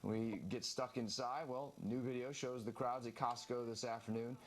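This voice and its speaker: A man's voice: mid-range voice, fairly even intonation, normal speed. A man anchoring a live television newscast, reading the news.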